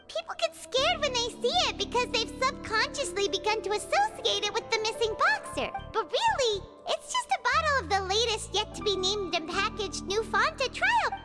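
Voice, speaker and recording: Tiny squeaky fairy sidekick for a game, super high n bouncy, music behind. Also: female voice. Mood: excited, explaining. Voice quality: squeaky, childlike. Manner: bubbly, speaks of self in third person. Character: small childlike fairy companion, chirpy, excitable, talks in third person. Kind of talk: video game character acting.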